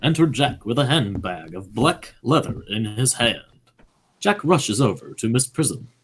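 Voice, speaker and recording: A man's voice: medium pitch, big pitch swings, unhurried. A man narrating stage directions for an amateur radio play in a scripted reading style. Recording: clearly noisy amateur recording.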